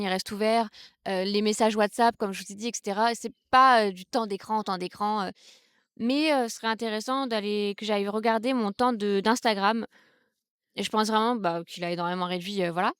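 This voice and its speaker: A young woman from Brittany, France, speaks in a smooth, clear voice, thinking aloud and often pausing mid-sentence. The sound is close and dry, with no room around it. Her pitch sits in the middle for a woman. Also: steady tone, no echo. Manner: thoughtful, hesitant, many fillers and restarts. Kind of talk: casual reflective vlog talk. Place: outdoors in the countryside, seated on a wooden stool.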